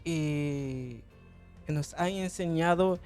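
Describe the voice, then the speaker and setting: A man's voice: on the high side, drawn-out words, a little mellow, clean tone, soft background music. A young man with a Guatemalan accent giving an earnest, somewhat hesitant religious testimony as a guest. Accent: Guatemalan Spanish. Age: young adult. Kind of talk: personal testimony.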